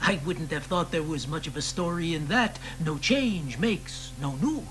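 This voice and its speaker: An actor playing a provocative, wry poet who needles the people around him. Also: male voice, on the high side, expressive, measured pace, fairly bright tone, slight background noise. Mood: needling. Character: provocative male poet of the protest era. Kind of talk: radio drama acting.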